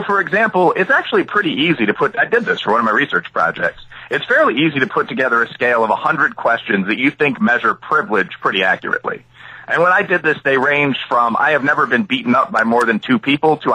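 Male author guest from Chicago, very bright husky tone, lively swings in pitch, thinks aloud. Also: quick pace, low-quality recording. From Chicago area, USA. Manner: expansive, thinks aloud with frequent asides. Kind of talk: conversational interview answers.

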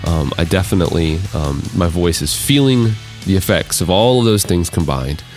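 A man with a deep baritone, hoarse from allergies, hosting a casual solo podcast, rambling with many restarts and fillers. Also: lively intonation, moderate pace, a little mellow, soft background music. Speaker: podcast host.

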